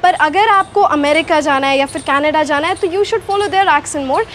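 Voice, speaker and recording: Very high-voiced young Indian woman explaining. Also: unhurried, a bit bright, a little hiss or hum. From Haryana, India. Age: young adult. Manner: mixes Hindi and English, explanatory, gestures with hands. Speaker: guest, English accent coach. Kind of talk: casual interview answers. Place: outdoor garden courtyard under shade net. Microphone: clip-on lapel mic.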